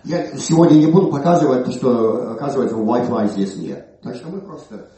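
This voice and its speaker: An older man, an English speaker, presenting his book in Russian with a halting manner, recovering from a cold. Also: mid-range voice, lively intonation, dark tone. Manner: halting, many hesitations and restarts. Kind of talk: informal presentation speech. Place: museum hall. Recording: echoey hall, from far off.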